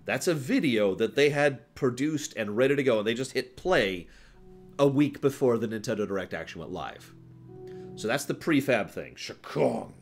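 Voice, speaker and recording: A man's voice: mid-range voice, lively intonation, normal speed, faint music behind. A man hosting a livestream, chatting casually and opinionatedly with his viewers in an emphatic, conversational way. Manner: emphatic, conversational, breaks off to address chat. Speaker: livestream host.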